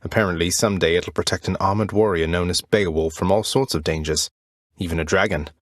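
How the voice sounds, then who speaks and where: An actor playing a wry, courteous young prince of the underworld in a video game, with dry, understated delivery. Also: male voice, sits low, fairly even intonation, fairly quick, no room sound, close to an everyday voice. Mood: conversational. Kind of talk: scripted game character dialogue.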